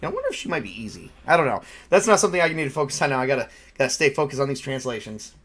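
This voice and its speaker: A man running a tabletop role-playing game as game master, narrating casually and haltingly as he improvises. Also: medium pitch, huge pitch swings, fairly bright tone.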